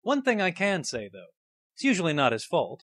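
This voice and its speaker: Earnest young rookie lawyer, acted by a man, lively pitch. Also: brisk, no echo, clean studio sound, heavily acted. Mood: wry, earnest. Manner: hesitant, earnest. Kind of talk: game fandub acting and narration.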